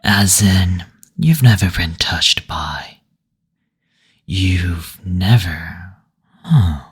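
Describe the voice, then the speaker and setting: Suave demon receptionist, male actor: deep, heavily breathy voice, seductive and teasing, for intimate audio roleplay. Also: animated, exaggerated voice. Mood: surprised, intrigued. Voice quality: breathy, husky, near-whispered. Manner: slow, coy teasing with endearments and drawn-out hums.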